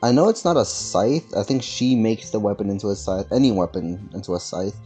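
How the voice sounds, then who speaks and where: A man's voice: on the low side, fairly quick, mellow, faint music behind. A man giving casual, rambling, laid-back game stream commentary.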